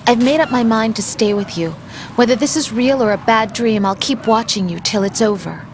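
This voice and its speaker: A woman's voice: fairly high pitch, normal speed, lightly acted. An actress playing a devoted young woman who speaks tenderly and earnestly. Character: devoted young woman, soft-spoken partner. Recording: noisy, heard from afar.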